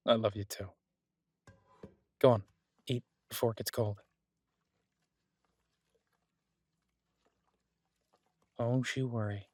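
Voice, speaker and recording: A man playing a devoted, easygoing husband who cooks, talking in a casual, halting, reassuring way in an intimate audio roleplay. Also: medium pitch, a little mellow, dry recording. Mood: tender, reassuring. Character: devoted, easygoing husband and cook, gently teasing. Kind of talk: intimate one-sided audio roleplay, conversational.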